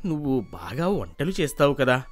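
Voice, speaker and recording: A man voicing a gentle elderly village husband in an animated story, slow and reassuring. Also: mid-range voice, soft background music.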